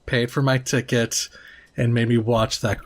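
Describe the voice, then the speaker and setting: A man's voice: mid-range voice, little pitch movement, measured pace. A man chatting casually as a panelist on a podcast. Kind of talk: casual panel chat about films.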